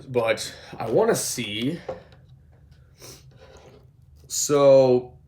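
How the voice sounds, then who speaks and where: Hoarse young man rambling in an echoey room. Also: medium pitch, expressive, drawn-out words, dark tone, a little hiss or hum. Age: 20s. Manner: rambling, filler-heavy, moves around while talking. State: hoarse from a cold. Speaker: skateboarding vlogger. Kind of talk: casual product walkthrough. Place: indoor room with gym mat. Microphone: phone or camera moved around.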